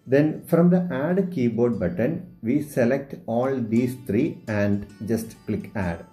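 Slow, hesitant man with an Indian accent. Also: mid-range voice, mellow, soft background music. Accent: Indian English. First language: Malayalam. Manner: hesitant, many filler sounds. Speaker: teacher. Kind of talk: screen-recorded tutorial.

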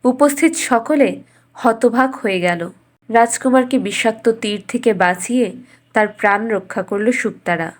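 Lady reading a bedtime fairy tale, kinda high voice, measured and expressive. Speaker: storyteller.

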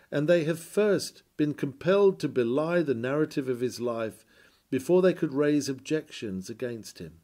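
A man reading a scripted historical sermon aloud in a measured, solemn and formal oratorical manner. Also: mid-range voice, warm tone.

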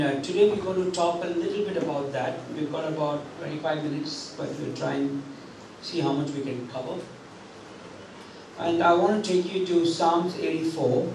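A man's voice: fairly high pitch, background noise. A man in his thirties preaching a sermon as pastor into a handheld mic in a church hall, talking conversationally with many fillers and restarts. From India. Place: church hall with drum kit. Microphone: handheld microphone. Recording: very echoey hall, picked up from a distance.

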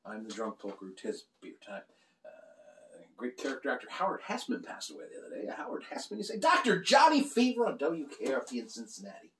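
A man's voice: medium pitch, a little fast. A middle-aged man rambling and joking through a casual beer review, recorded indoors by a camera across the table. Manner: animated, joking, gestures a lot while talking. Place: small room lined with beer shelves, indoors. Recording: roomy, slightly distant sound.

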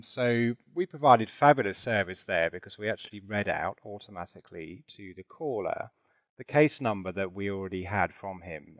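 A man narrating a software demonstration in a chatty, informal way, with conversational asides. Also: deep voice, no echo. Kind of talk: conversational product demo narration with role-play.

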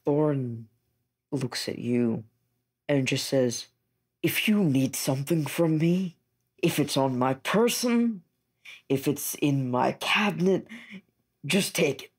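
Husky male tabletop player. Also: fairly high pitch, lively intonation, takes it slowly, pauses often. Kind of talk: improvised in-character roleplay.

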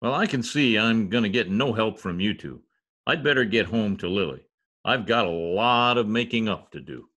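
A man's voice: fairly low pitch, animated, moderate pace, sharp tone. An older man playing a pompous, exasperated radio star with a theatrical manner in old-time radio comedy. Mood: fretful, weary. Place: indoor home office. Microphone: computer mic on video call.

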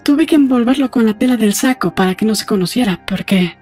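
A woman playing a brisk, proud, rebellious young lover in chatty, conspiratorial audiobook dialogue. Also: a little high, lively intonation, warm tone, breathy edge, faint music behind. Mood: excited, proud.

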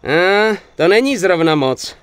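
Casting: friendly game mechanic, high lively male voice, chatty, eager to help. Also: smooth, no echo. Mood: friendly, easygoing. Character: chipper, obliging male garage mechanic. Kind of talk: dubbed video game cutscene acting.

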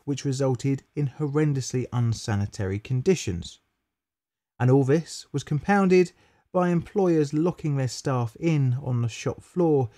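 A man reading a scripted history documentary as a voice-over, in a measured, matter-of-fact storytelling manner that stresses key words. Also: mid-range voice, mellow. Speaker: documentary narrator.

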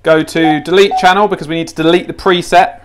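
A man's voice: a little high, fairly even intonation, brisk. A man giving a casual, unscripted hands-on tutorial, informal, repeating himself and thinking aloud as he goes. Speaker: presenter of a gadget how-to. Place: indoors, tiled kitchen counter.

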